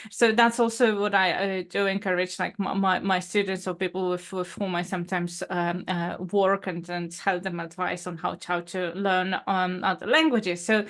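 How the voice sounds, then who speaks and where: A woman who teaches languages, talking in a relaxed chat and often repeating words as she gathers her thoughts. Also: lowish voice, moderate pace, hardly any pauses. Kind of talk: casual conversation.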